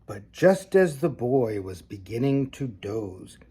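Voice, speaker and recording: An older man reading a children's storybook aloud like a grandfather, with expressive, deliberate phrasing and casual asides. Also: medium pitch, breathy edge.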